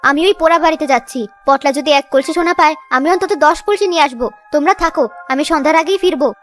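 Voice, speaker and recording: Woman playing a shrill, bossy sister-in-law. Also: quick pace, a bit bright. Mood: scornful, bossy. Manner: scolding, imperious. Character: bossy, greedy, sharp-tongued village sister-in-law. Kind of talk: children's cartoon voice acting. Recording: music under the voice. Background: children's music.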